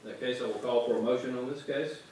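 A man's voice: mid-range voice, fairly even intonation, fast talker, darkish tone, a little hiss or hum. Man chairing a public hearing. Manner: formal, procedural. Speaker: commission chairman. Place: large council chamber. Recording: echoey hall, mic far off.

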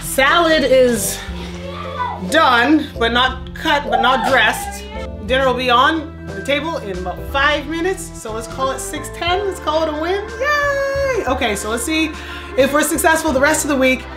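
A woman's voice: high voice, lively intonation, unhurried. A woman in her thirties chatting casually while cooking dinner in a small home kitchen, upbeat and laughing often, recorded on the camera mic with music and clattering dishes behind her. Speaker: vlogger, mom cooking dinner. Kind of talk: casual cooking vlog chat. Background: music and clattering pots and dishes.

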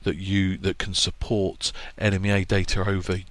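A man giving a relaxed, plainspoken voice-over walkthrough of a product's setup, with plenty of ums and restarts. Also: deep voice, moderate pace. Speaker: product presenter for a marine electronics maker. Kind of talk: casual screen-recorded product walkthrough.

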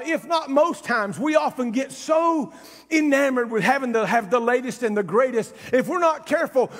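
High-pitched male preacher in a hall. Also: fairly bright tone. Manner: emphatic, exhorting, conversational asides. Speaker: pastor. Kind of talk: sermon. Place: large church stage, indoors. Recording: reverberant hall sound.

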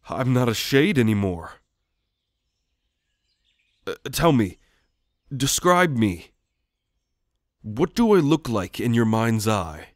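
A man playing a gentle shadowy sleep demon in an ASMR roleplay, speaking softly and haltingly to soothe the listener. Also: medium pitch, dry recording, studio quality. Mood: amazed, pleased.

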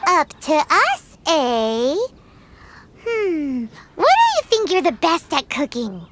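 A woman voicing a tiny, chatty, excitable childlike fairy companion in a video game. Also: slow, noisy background, heavily acted. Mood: excited, chatty. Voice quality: squeaky, childlike. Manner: exclamatory, refers to herself in third person. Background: game music.

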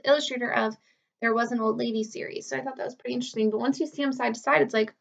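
High-voiced young woman. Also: steady tone, racing, vocal fry, highs cut off. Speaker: elementary school teacher reading aloud. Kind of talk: casual storybook read-aloud for children. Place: small furnished room at home, indoors.

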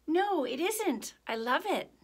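An earnest little storybook girl, as a woman reader puts her on, pitched high. She is curious and wondering, asking simple questions of the grown-ups she loves. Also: sing-song, takes it slowly, full character voice. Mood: delighted.

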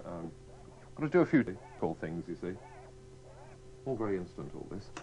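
A man's voice: sits low, big pitch swings, normal speed, mellow. A man working as a radio DJ, casually ad-libbing live to his listeners. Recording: dull old radio tape with faint music.

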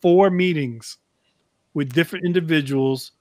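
Higher-voiced American man, dry sound. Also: moderate pace, darkish tone, no room sound. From United States. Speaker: podcast co-host. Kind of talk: casual chat. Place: indoor room, video call.

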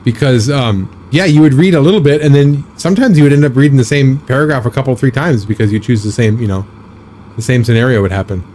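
A man in his thirties chatting casually while live-streaming a driving game, rambling with a slightly raspy, scratchy throat. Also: mid-range voice, fast talker, dark tone. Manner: rambling, chatty, reads and answers chat.

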